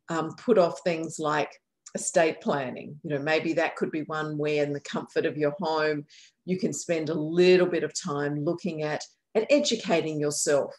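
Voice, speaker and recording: A woman's voice: lowish voice, darkish tone, poor audio. A woman from a county aging services office, guiding viewers through a website on a shared screen with a friendly, explanatory manner. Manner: friendly, explanatory, self-correcting. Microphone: computer mic on a video call.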